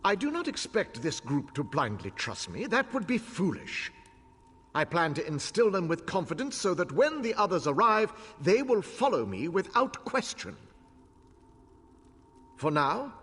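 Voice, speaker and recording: Imperious high-voiced ancient elf commander. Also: male voice, fairly bright tone, slightly rough, heavily acted. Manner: commanding, formal, deliberate. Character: ancient male elf war leader, imperious and proud. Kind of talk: video game voice acting. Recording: long hall reverb, music behind.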